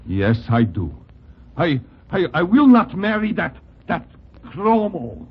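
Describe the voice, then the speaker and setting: An earnest foreign king and fighter, halting and pleading. His husky voice rises and falls freely with emotion. The sound is a tinny 1940s radio broadcast. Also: high-pitched, big pitch swings, exaggerated voice. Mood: defiant. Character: earnest young foreign king turned boxer, flustered, pleading. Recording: tinny old radio recording.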